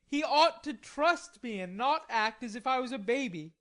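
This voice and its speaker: A woman voicing a proud, hot-tempered young gentleman who speaks indignantly and defiantly. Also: fairly high pitch, expressive, moderate pace, voice pushed up.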